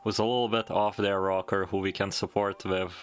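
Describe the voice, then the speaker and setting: A man's voice: low-pitched, little pitch movement, brisk, smooth. A man narrating in a casual, scripted video style, with wry, irreverent, slangy joking asides. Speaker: video essayist.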